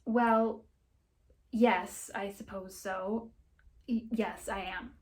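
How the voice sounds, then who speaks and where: A woman's voice: medium pitch, fairly even intonation, extremely slow. Woman reading as an apologetic, sheepish boyfriend. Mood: awkward pity. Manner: hesitant, placating, earnest.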